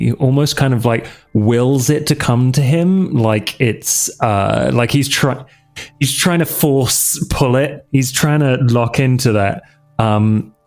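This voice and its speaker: A man with a creaky, gravelly voice speaks slowly as a player in a tabletop role-playing game. He feels his way through his character's actions in hesitant fragments, with music playing behind him. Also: fairly low pitch, a little mellow. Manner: hesitant, thinking aloud in fragments. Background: background music.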